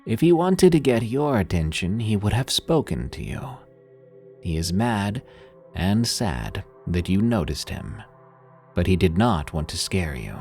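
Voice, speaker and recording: A man voicing a calm, wise older male priest who speaks in a measured way. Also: deep voice, soft background music, exaggerated voice.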